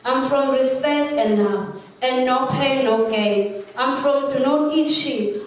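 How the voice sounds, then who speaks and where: A woman with a Swazi accent recites her poem aloud. Her voice is high and her delivery slow and measured. She is far from the mic in a strongly reverberant space. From Eswatini (Swaziland). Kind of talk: poetry recitation to an audience. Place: large echoey room, live event. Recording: very echoey room, distant mic.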